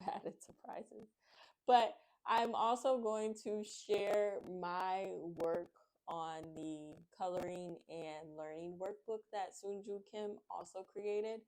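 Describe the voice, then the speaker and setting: A woman hosting a children's read-aloud, speaking in an expressive, excitable, friendly way to young viewers. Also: fairly low pitch, a little hiss or hum, rough recording. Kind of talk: storybook narration and friendly chat to young viewers.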